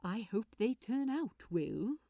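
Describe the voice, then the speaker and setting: A woman's voice: a little high, very animated, slow, no room sound, studio quality, exaggerated voice. A woman voicing a polite, affectionate, genteel man who loves wrapping gifts, in a storybook reading. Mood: eager, pleased. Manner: prim, bright, polite, affectionate.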